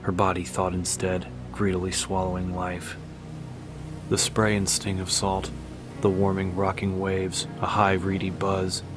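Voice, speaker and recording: A man's voice: deep voice, completely flat delivery, a little slow, over music. A man narrating a dramatic story in a scripted audiobook style.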